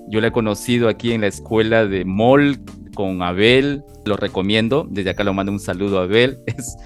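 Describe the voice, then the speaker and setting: A man hosting a podcast interview, greeting listeners eagerly and sometimes repeating words as he goes. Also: lowish voice. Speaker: podcast host.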